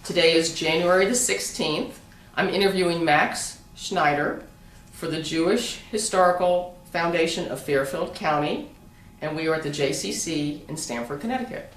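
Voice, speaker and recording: A woman conducting an oral history interview, gently prompting and often rephrasing her questions. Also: fairly low pitch, moderate pace. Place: room at a community center. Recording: echoey room, distant mic, faint hiss.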